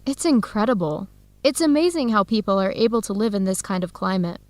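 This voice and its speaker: A woman's voice: mid-range voice, expressive, fairly quick, a little mellow, no room sound, lightly acted. A woman with an American accent playing a friendly, encouraging young researcher in an educational radio drama. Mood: enthusiastic. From United States.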